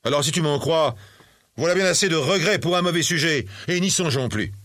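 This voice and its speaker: A man's voice: fairly high pitch, lively intonation, a little fast, a bit bright, no echo, studio quality, voice pushed up. A man voicing a stern, blunt old uncle in a storybook, grave and admonishing.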